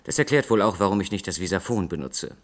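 An actor playing a dutiful, discreet presidential aide, formal and polite, in a 1960s German radio drama. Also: male voice, low-pitched, animated, fast talker.

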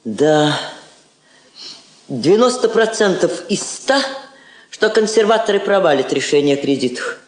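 A woman's voice: fairly low pitch, very animated, unhurried, pauses often, darkish tone. An actress playing a poised, shrewd middle-aged Soviet woman ambassador, tender but resolute, in theatrical radio drama style. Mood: shrewd, calculating. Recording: roomy 1960s radio play recording.

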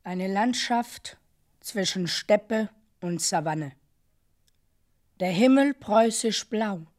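A solemn woman recites in an experimental radio drama. She is declamatory and deliberate, with a mid-pitched voice and an insistent temper. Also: a little mellow. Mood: solemn, descriptive.

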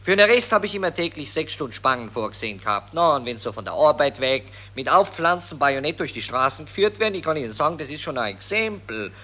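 A smug Viennese army officer. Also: male voice, fairly high pitch, very animated, quick pace, few pauses, bright, forceful tone, exaggerated voice. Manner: boastful, chuckling, drawling. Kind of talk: radio play acting.

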